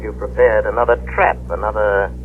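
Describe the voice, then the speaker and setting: A man playing a calm, mind-reading crime fighter in an old radio drama, measured and commanding, on a scratchy old broadcast. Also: on the low side, phone-quality sound, soft background music, natural voice.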